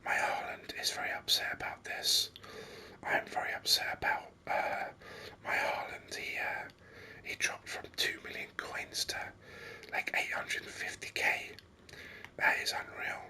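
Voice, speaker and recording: A person giving whispered, breathy ASMR commentary on a video game, speaking softly in an unhurried, explanatory way into a close microphone. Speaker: gaming video host.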